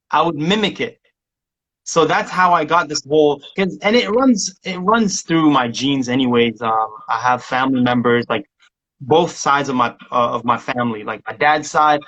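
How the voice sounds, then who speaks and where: A man's voice: mid-range voice, a bit bright, lo-fi sound. A man from Somalia, a music producer chatting casually as a guest and rambling with many restarts and laughs. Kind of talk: casual interview chat.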